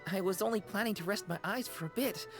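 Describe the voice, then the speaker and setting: An actress playing a sleepy, muddled young female concierge in a video game, polite and earnest, with dreamy, halting, theatrical storytelling. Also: mid-range voice, close to an everyday voice. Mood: drowsy, flustered. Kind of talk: scripted video game character dialogue. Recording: game music behind the voice.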